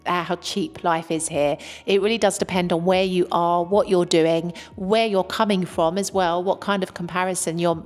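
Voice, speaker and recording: A woman's voice: lowish voice, darkish tone. A British woman talking earnestly to camera as a vlogger, speaking candidly in long, flowing sentences. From Britain. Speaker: vlogger living abroad. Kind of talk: casual talk to camera. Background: faint background music.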